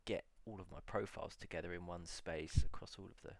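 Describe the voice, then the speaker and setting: A man in a casual solo video, musing aloud as he reads out old titles slowly, with many pauses and fillers. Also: sits low, fairly even intonation, vocal fry, a little mellow, no echo. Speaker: video blogger.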